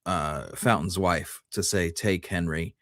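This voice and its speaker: A man's voice: sits low, slow pace, fairly bright tone, no echo, studio quality. A man co-hosting a history podcast, chatting casually and offering his own opinions.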